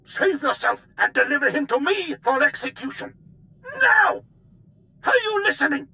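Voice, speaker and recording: An actor playing a deranged, tyrannical Imperial commander who rants bombastically and hysterically in a video game. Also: male voice, very high voice, lively intonation, normal speed, bright, forceful tone, full character voice. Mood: outraged ranting.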